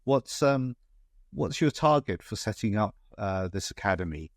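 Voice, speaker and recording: A man hosting an interview show, asking measured and encouraging questions. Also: on the low side, animated, no room sound, clean studio sound. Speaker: podcast host.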